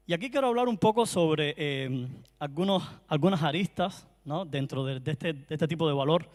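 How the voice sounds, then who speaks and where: A man with a Cuban Spanish accent gives a talk in a large hall where his voice rings with long reverb. His voice sits somewhat high for a man. He talks in a chatty, friendly way, often pausing and restarting his phrases. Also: expressive. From Cuba. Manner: warm, chatty, frequent hesitations and restarts. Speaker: conference speaker. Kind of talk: conference talk. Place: conference hall.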